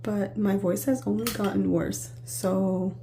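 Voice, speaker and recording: A hoarse woman with a raspy, worn voice that sits dark and mellow, talking casually through a perfume review. She rambles and corrects herself as she goes, with a faint hum behind her. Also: mid-range voice, level tone, unhurried. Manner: chatty, rambling with self-corrections.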